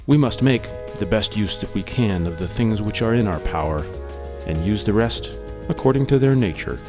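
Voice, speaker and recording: A man reading philosophy as a scripted dramatic monologue, in a measured, deliberate manner. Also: fairly low pitch, lively intonation. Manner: measured, deliberate delivery in a radio monologue style. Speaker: audiobook reader. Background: music.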